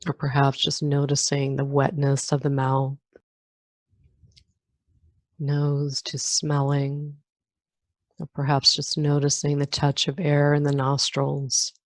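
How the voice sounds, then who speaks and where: A woman guiding a meditation as a teacher, giving calm, gentle instructions with long pauses between phrases. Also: deep voice, no room sound. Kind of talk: guided meditation.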